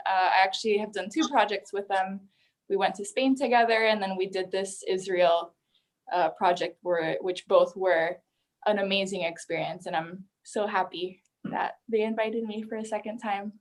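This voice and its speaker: A woman, from Peru, introducing herself on a video call in a friendly way. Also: mid-range voice. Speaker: guest. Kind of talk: casual self-introduction.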